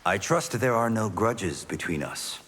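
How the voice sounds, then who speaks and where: An actor playing a humble, good-natured martial-arts champion in a video game, courteous and sincere. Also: male voice, fairly low pitch, animated, a little slow, fairly bright tone, slightly rough, full character voice. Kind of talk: video game character dialogue. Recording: long reverb, faint background ambience.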